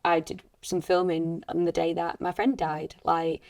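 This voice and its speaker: A woman's voice: lowish voice, flat delivery, a little slow, darkish tone. A woman chatting casually as a podcast guest, speaking in an earnest, reflective way about her acting work. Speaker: actress being interviewed on a behind-the-scenes podcast, also a teacher. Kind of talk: casual chat.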